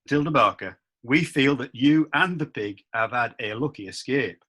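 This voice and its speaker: A man playing a medieval court judge who delivers formal, measured rulings. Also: medium pitch, lively intonation, bright, forceful tone, slightly rough.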